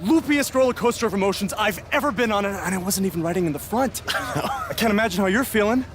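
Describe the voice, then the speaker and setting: A man's voice: high voice, lively intonation, fast, slight background noise. A man acting as a loyal, joking young indie wrestler buddy in a video game. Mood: excited. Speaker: wrestler, sidekick. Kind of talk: video game cutscene dialogue.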